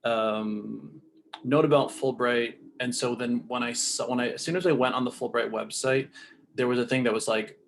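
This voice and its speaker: A man speaking as a panelist in a relaxed way, thinking aloud and restarting sentences. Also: medium pitch, normal speed, vocal fry, a little hiss or hum. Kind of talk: casual panel talk.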